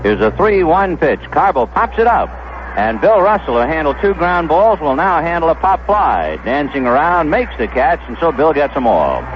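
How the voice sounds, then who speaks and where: An American man calling baseball play-by-play on the radio, conversational and descriptive, filling in stories between pitches. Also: very animated, normal speed. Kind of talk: play-by-play commentary. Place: ballpark broadcast booth. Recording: dull, noisy vintage 1970s radio broadcast.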